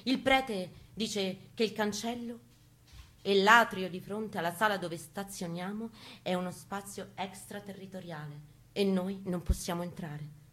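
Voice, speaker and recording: A woman's voice: mid-range voice, steady tone, unhurried, fairly bright tone. A woman reading a first-person narrative aloud in an intense, theatrical, emphatic way. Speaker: stage reader and narrator. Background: music accompaniment, guitar.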